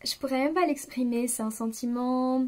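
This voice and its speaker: A woman in her late twenties speaks straight to her viewers in a loose, unscripted way. She stops and restarts often and fills pauses with small hesitations. Her voice sits a little high for a woman. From France. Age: 20s. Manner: hesitant, many fillers and restarts, confiding tone. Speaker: vlogger. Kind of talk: spontaneous personal reflection.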